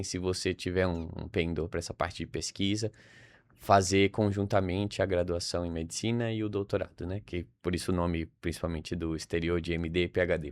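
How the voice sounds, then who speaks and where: A man's voice: sits low, no room sound. A young man from Salvador with a Brazilian accent, chatting informally as a podcast guest and thinking aloud with frequent tag questions. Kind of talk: casual interview conversation.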